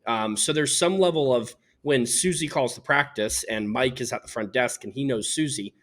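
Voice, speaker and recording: Male business founder, medium pitch, interview setting. Articulate, measured, candid explanations. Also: fairly bright tone. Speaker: company founder being interviewed.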